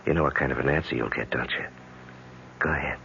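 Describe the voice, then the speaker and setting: A man's voice: very deep voice, fairly even intonation, quick pace, creaky, sharp tone, full character voice. An actor playing a patient husband, coaxing and reassuring his wife in a 1970s radio drama. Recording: dull, noisy old radio recording.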